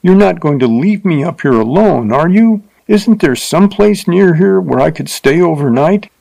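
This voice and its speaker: A man's voice: medium pitch, expressive, normal speed, darkish tone, natural voice. A man voicing a prim, frightened young woman teacher who speaks formally and pleads.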